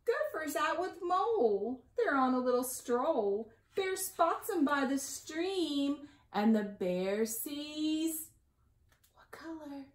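A woman in her forties reading a picture book aloud to young children, with sing-song emphasis and drawn-out key words. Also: high-pitched, lively intonation. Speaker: library storytime reader. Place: small indoor room at home. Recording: echoey room, slightly distant mic.

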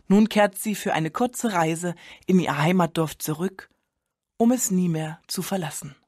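A woman's voice: on the low side, unhurried, a little mellow, dry recording, studio quality. A woman radio host giving a scripted introduction to a programme.

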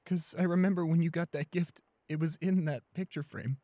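A man playing a shy, anxious, devoted young boyfriend in an intimate roleplay audio, speaking softly and hesitantly with small stammers. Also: on the high side, brisk, no room sound, close to an everyday voice. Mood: apologetic, uncertain. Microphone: close studio condenser microphone.

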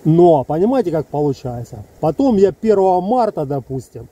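A man's voice: fairly high pitch, big pitch swings, normal speed, mellow, breathy edge, muffled recording. A man in his fifties from Ukraine, talking heatedly to his phone camera outdoors among trees, in an emphatic, rambling monologue full of asides. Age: 50s. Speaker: soldier vlogger. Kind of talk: casual video monologue to camera. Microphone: phone held close, selfie framing.

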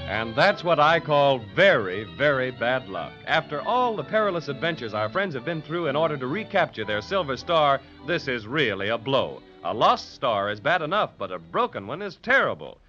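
A man's voice: medium pitch, very animated, normal speed, hardly any pauses. A man narrating a children's serial in scripted 1930s radio announcer style. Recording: dull 1930s radio recording. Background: music.

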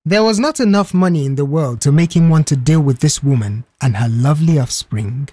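A man's voice: on the high side, a little fast, mellow, husky, no echo. A man narrating a scripted audio story as an audiobook narrator.

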